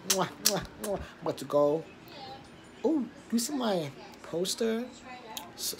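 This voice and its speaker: A man's voice: a little high, background noise. A young man chatting casually for his vlog in a laid-back, rambling way, filmed on a phone at arm's length. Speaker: vlogger. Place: bedroom, car and outdoors. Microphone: phone held at arm's length.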